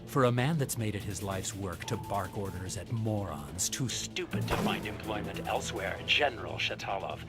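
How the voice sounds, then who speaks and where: A man's voice: on the low side, lively intonation, moderate pace, hardly any pauses, loud music behind the voice. A man voicing a scornful male scientist villain in a video game, sneering and contemptuous. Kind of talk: video game cutscene voice acting.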